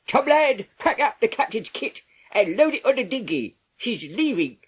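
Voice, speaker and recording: Man putting on a high bossy pirate mate. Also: sing-song, breathy edge, full character voice. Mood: smug. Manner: brisk, drill-sergeant commands.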